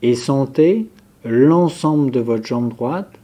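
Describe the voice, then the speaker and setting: A man leads a guided relaxation as a yoga nidra instructor. His voice is soft-edged and mellow, at a medium pitch. He speaks very slowly and calmly, setting out each instruction in measured steps. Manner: calm, measured, instructive, listing step by step.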